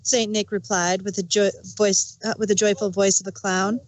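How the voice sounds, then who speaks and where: A woman narrator reading a storybook tale in rhyming verse with an expressive read-aloud cadence. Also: medium pitch, moderate pace.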